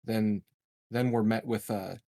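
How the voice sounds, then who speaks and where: A man's voice: fairly low pitch, steady tone, measured pace, vocal fry, mellow. A man playing a tabletop roleplaying game, casually arguing his case as a player. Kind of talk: casual game strategy discussion.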